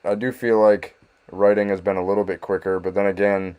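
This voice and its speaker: A man in his thirties, a guest rapper, chatting casually on a podcast in a halting, self-deprecating way, laughing often. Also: low-pitched, little pitch movement, darkish tone, clear, resonant tone.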